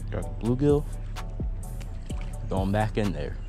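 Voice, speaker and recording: A man's voice: lowish voice, lively intonation, slow pace, mellow. A young man casually talking viewers through a day of pond fishing, filmed on a head-mounted action camera outdoors. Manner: laid-back, talks to the fish and viewers. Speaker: fishing vlogger. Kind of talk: casual first-person fishing commentary. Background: background music.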